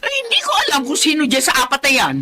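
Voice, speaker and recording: Nagging mother, lively high voice. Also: quick pace, noisy background. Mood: exasperated, nagging. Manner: scolding, complaining. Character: nagging Filipino mother, exasperated but caring. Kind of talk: Filipino radio-style drama acting.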